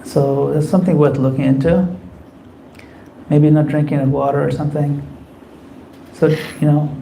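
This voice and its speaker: A man leading a devotional class, answering questions from the group in an earnest, gently advising way. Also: fairly high pitch, little pitch movement, normal speed, creaky, a little hiss or hum, poor audio. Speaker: class leader taking questions. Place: community temple class.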